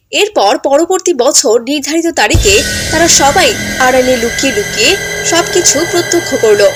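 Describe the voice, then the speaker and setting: A woman giving scripted storybook narration as the narrator of a tale. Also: high-pitched.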